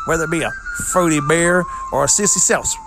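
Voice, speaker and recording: A man with a high voice hawks beer in a brash, macho parody ad. Music plays clearly under his voice. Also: fast. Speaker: parody ad announcer. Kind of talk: mock beer commercial. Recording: music bed behind.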